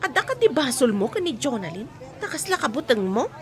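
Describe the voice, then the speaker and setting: High-voiced young Ilocano woman played by an actress. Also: very animated, fairly quick, some chatter behind. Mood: confronting, pressing. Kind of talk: Ilocano audio drama acting.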